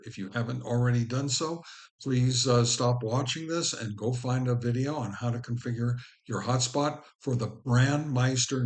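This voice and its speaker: Man giving an informal how-to walkthrough. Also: medium pitch. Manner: instructional, addresses the viewer directly. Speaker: host of a ham radio how-to channel. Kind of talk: informal step-by-step tutorial.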